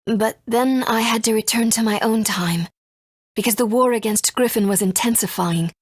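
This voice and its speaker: A woman voicing a gentle, earnest mother and resistance fighter in a video game, soft and breathy, speaking in a measured, tender way. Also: on the high side, dry recording, lightly acted. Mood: earnest, solemn. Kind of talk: English video game voice acting.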